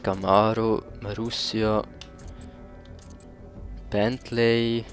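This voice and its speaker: A man's voice: on the low side, level tone, extremely slow, faint music behind. A man doing casual let's play commentary as host, with a somewhat hesitant delivery, searching for his words.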